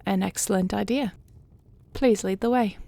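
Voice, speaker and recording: A woman playing a dragon queen and devoted wife in an audio roleplay, speaking in a formal, measured, earnest way. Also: medium pitch, gravelly, dark tone. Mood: composed.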